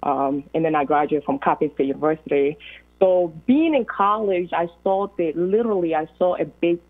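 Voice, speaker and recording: A woman's voice: low voice, moderate pace. A young woman from Ghana with a Ghanaian accent, a guest on a call-in radio talk show, speaking earnestly with many fillers. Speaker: guest accountant. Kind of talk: phone-in radio interview. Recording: tinny phone line.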